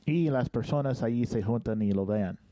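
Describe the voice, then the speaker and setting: An older man hosting a casual interview, friendly and gently teasing, asking guiding questions. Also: mid-range voice, normal speed, no echo, highs cut off. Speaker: podcast host.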